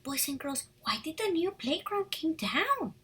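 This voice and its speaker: Woman, preschool teacher, picture-book read-aloud, slow pace, lively swooping pitch, husky, encouraging. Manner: animated, encouraging, addresses children directly. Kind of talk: read-aloud lesson with questions to young children.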